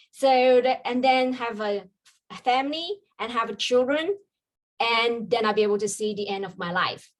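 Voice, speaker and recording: A woman's voice: medium pitch, poor audio. A woman from China with a Chinese accent, a native Chinese speaker, telling her own story as an interview guest. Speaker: guest, mindset coach.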